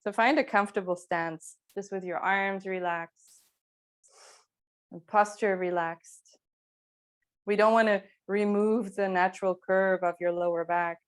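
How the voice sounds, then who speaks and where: Calm woman guiding a slow yoga class. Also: medium pitch. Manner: calm, unhurried, gently encouraging. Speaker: yoga teacher. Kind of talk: guided slow movement and breathing instruction.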